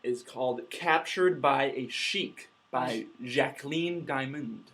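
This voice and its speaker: A man co-hosting a casual podcast, talking in halting bursts full of fillers and jokes. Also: mid-range voice, fairly bright tone. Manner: hesitant, filler-heavy, playful. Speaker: podcast co-host. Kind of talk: casual group chat.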